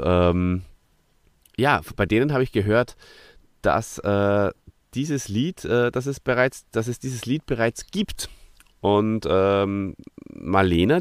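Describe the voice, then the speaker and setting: Male podcast host, fairly low voice, dry recording, casual and halting delivery. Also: no echo. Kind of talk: casual chat.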